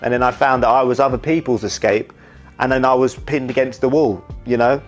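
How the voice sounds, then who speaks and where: Young man, candid, rambling, mid pitch, faint background music. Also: fairly even intonation, a little fast. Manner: candid, rambling, peppered with "you know". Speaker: YouTuber and wedding videographer. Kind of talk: reflective casual monologue.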